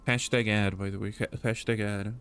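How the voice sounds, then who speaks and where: A man's voice: lowish voice, level tone, moderate pace, fairly bright tone, clean tone, soft background music. A man hosting a casual livestream chat, rambling and joking with many fillers. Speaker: stream host.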